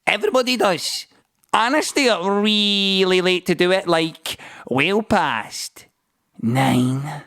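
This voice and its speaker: A man's voice: sits high, takes it slowly, fairly bright tone, pitched above the performer's own voice, heavily acted. A man playing a brash, boastful teenage schoolboy in an audio play, with a swaggering manner. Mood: boastful, cocky. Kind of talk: audio play character acting.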